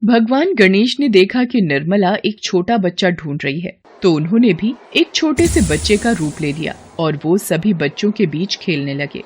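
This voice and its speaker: A woman's voice: on the low side, expressive, moderate pace, few pauses, a little hiss or hum. A woman narrating a scripted devotional story as a storyteller.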